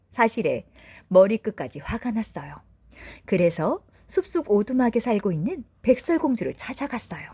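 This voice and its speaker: A woman narrating a bedtime fairy tale for children in a gentle, deliberate, expressive way. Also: a little high, husky. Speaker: storyteller mother.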